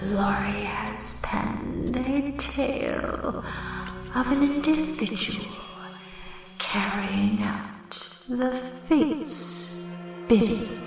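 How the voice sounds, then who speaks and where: A disembodied ghost who hosts an old-time radio horror show with wicked glee. The voice sounds distant in a huge, long reverb, with clear music behind. The delivery is very slow, theatrical and full of playful menace. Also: female voice, a little high, very animated, dull audio, exaggerated voice.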